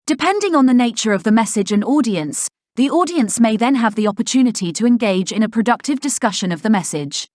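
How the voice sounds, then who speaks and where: A woman reading a scripted passage aloud with measured, careful enunciation. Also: fairly high pitch, lively intonation, darkish tone, dry recording, studio quality. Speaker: read-aloud model reader.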